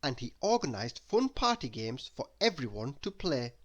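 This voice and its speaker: A man narrates a children's storybook aloud. His pitch is medium and moves around in a lively way, with deliberate, expressive emphasis on the telling words. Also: muffled recording. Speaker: storybook reader.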